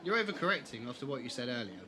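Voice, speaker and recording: A man's voice: medium pitch, big pitch swings, rapid-fire, sharp tone, a little hiss or hum. An actor playing an easygoing, open-minded young male traveller in an audio drama, frank and casual. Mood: frank, relaxed.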